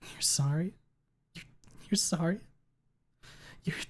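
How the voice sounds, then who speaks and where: A man voicing a grieving, deranged man who screams and laughs wildly, his voice cracking high. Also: takes it slowly. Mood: stunned. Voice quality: shrieking, cracked, manic laughter.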